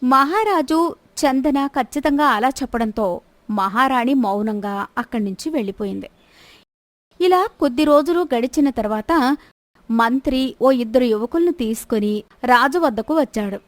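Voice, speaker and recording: Higher-pitched woman narrating a story. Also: lively intonation, moderate pace, no room sound.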